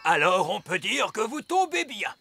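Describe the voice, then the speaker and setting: Pompous, high-pitched cartoon elf. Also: male voice, big pitch swings, normal speed, gravelly, sharp tone, no room sound, exaggerated voice. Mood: pompous, formal. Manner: pompous, formal, exact. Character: pompous, serious older male elf inventor and commander. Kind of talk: animated children's cartoon dub. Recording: music bed behind the voice.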